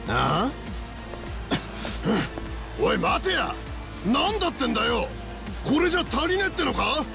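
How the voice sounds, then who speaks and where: A brash gangster thug from a video game. The voice is high for a man and gravelly, swinging wildly as he talks in pushy, coarse slang. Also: sing-song, a little slow, over music, heavily acted. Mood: pushy, angry. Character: brash, wheedling, short-tempered male ex-yakuza thug. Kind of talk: dramatic video game voice acting.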